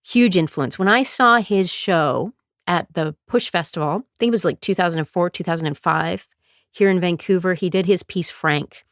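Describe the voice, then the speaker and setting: A woman hosts her podcast in a chatty, rambling way, poking fun at herself and laughing often. Her voice sits a little low. It is recorded close and dry. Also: moderate pace, no echo. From Calgary, Canada. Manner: chatty, self-deprecating, digressive, laughs often. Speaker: podcast host and performer. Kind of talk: casual solo podcast monologue.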